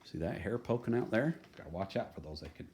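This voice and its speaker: A man with a low voice reads a picture book for children. His delivery is highly animated and swings up and down, with folksy, playful drama and chatty little asides. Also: quick pace, a little hiss or hum.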